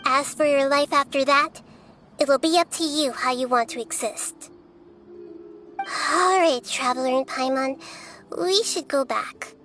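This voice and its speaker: A little girl deity from a video game speaks in a high, youthful voice. The manner is measured, wise and gently commanding, over faint music. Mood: solemn, firm. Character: small childlike female deity, wise, gentle and composed.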